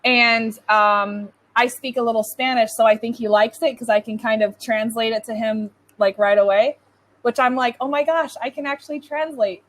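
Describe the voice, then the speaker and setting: A woman's voice: a little high, steady tone, normal speed, a bit bright. A woman with a North American accent tutoring English in casual, informal conversation, often saying "like". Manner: chatty, informal, says "like" often. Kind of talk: casual one-to-one lesson chat. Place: online video call lesson.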